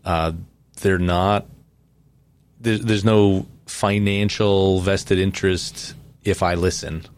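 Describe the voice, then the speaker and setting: A man who is a pastor hosting a podcast, talking in a relaxed, rambling way. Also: low-pitched, slow pace, smooth. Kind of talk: casual chat.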